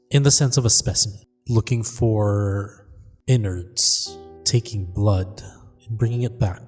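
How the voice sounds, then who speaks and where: A man narrating his tabletop character's story dramatically, deliberately broken into short phrases. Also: lowish voice, mellow. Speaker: player in a tabletop game podcast. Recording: long reverb under music.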